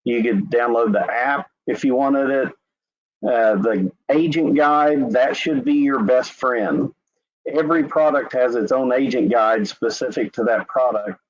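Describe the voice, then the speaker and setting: Man with an Oklahoma accent, relaxed demo. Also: mid-range voice, measured pace. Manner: relaxed, conversational, with filler "uh" and "anyway". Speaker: insurance company representative. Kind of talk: screen-share webinar walkthrough. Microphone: video call. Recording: dull lo-fi web call audio.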